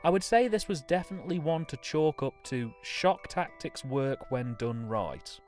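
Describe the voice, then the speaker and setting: A man's voice: on the high side, lively intonation, normal speed, darkish tone, faint music behind. A young adult man giving review commentary on films. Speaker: guest reviewer.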